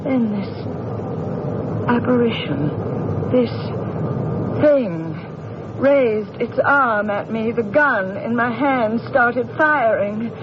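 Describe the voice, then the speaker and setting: An actress playing a poised, wealthy widow accused of killing her husband, speaking in a measured, guarded, formal way in an old-time radio mystery style. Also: on the high side, expressive. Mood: tense, haunted. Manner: measured, guarded, formal, halting when recounting. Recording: noisy, muffled old radio recording.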